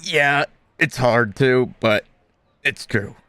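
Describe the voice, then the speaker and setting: A man's voice: mid-range voice, slow pace, bright, forceful tone. A man giving casual, laid-back gameplay commentary, musing aloud as he reacts. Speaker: game streamer.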